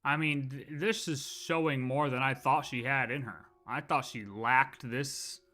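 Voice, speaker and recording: A man's voice: medium pitch, a little slow, bright, forceful tone. A man giving casual live commentary on a game playthrough, musing and thinking aloud. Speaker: game streamer. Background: faint game music.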